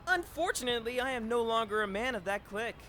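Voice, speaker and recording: Man as very high-voiced goofy anime schoolboy. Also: expressive, quick pace, bright, forceful tone, a little hiss or hum, full character voice. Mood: smug. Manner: smug, hammy, mocking. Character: goofy, boastful lovestruck teenage schoolboy. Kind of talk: anime fandub acting.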